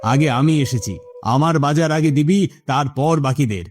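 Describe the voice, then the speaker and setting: Man acting a slow-talking, oily village bossy type. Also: a little high, a little mellow, a little hiss or hum, natural voice. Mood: bossy, irritated. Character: leering, bossy village man, widow's in-law. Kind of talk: cartoon voice acting.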